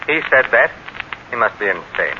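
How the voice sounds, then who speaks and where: A man's voice: mid-range voice, very animated, normal speed, close to an everyday voice. A man playing a dismissive, indignant businessman, haughty and incredulous, in 1940s radio acting style. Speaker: radio actor. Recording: muffled, noisy 1940s radio broadcast.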